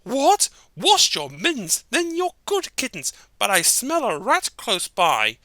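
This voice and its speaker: A nursery-rhyme reader voicing a stern mother cat who scolds her kittens with sharp exclamations. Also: medium pitch, wildly swooping pitch, slow pace, dry recording, clean studio sound, pitched above the performer's own voice, exaggerated voice. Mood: scolding.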